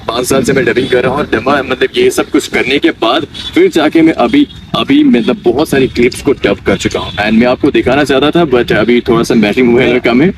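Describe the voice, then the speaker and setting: Young guy being interviewed, earnest, lively pitch, mic kinda far w loud street noise. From Kishtwar, Jammu and Kashmir, India. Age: young adult. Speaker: interviewee, amateur dubbing and mimicry artist. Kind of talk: informal interview answers. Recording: noisy outdoor recording, distant mic, traffic.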